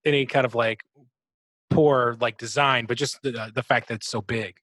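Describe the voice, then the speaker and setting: Medium-pitched man in casual gaming chat. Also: steady tone, normal speed, a bit bright, no room sound. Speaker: podcast co-host. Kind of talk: casual video game chat.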